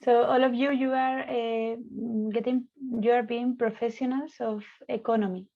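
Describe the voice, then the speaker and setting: A woman from Spain with a Spanish accent, a psychologist leading an online debate in slow, hesitant English full of fillers. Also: a little high, little pitch movement. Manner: slow, hesitant, frequent fillers and light laughs. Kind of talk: online discussion session. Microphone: video call. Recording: dull lo-fi call audio.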